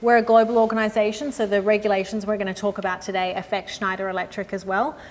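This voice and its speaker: A woman, a sustainability consultant giving a live industry presentation in a room with an audience, in a friendly, measured way with frequent "um" and "uh". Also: medium pitch, flat delivery. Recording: long hall reverb with faint noise.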